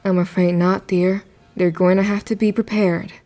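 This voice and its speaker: A woman playing a caring, gently realistic mother in a radio drama, soothing and matter-of-fact. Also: lowish voice, flat delivery, moderate pace, faint music behind, close to an everyday voice. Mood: gentle, resigned.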